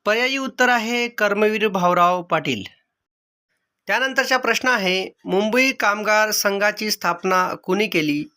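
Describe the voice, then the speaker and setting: A man speaks in a notably high, bright and crisp-edged voice. He works as a quiz teacher, reading questions, options and answers aloud. His delivery is measured and follows a set formula. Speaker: exam-prep quiz teacher.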